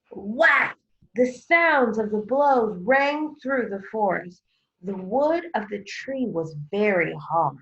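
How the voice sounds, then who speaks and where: Slow-paced woman teacher reading aloud. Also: mid-range voice, fairly bright tone, dull audio. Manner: deliberate, emphatic, questioning. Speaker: second grade teacher. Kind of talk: instructional read-aloud for children.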